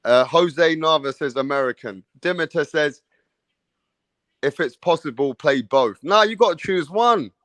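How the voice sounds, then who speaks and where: A man's voice: a little high, animated, a little slow, fairly bright tone, no room sound. A man hosting a casual boxing livestream, swearing freely and pushing the viewers to vote. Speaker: livestream host.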